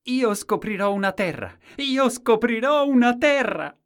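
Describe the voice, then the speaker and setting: Man acting out Colombin, an eager, high-voiced simple fool. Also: very animated, measured pace, a little mellow. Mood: excited, exultant. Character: Colombin, meek, candid, eager court fool.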